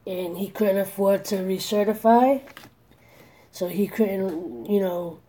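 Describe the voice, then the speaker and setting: A woman's voice: mid-range voice, slow, dark tone. A woman in her forties talking candidly in a personal vlog, earnest and worried, hesitating often with restarts and fillers. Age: 40s. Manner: hesitant, many restarts and "you know". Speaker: vlogger.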